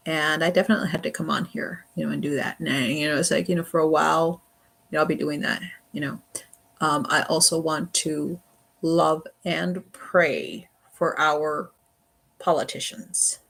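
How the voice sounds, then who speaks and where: A livestream host with a sharp, creaky voice at a middling pitch. The talk is casual and wandering, with halting restarts and repeated phrases, delivered earnestly. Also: fairly even intonation. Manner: halting, repetitive, peppered with "you know".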